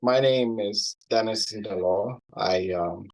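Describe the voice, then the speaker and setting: A man's voice: lowish voice, takes it slowly, a little mellow, rough recording. A man from Cameroon, giving a formal, earnest talk to a class as a guest speaker on wildlife management.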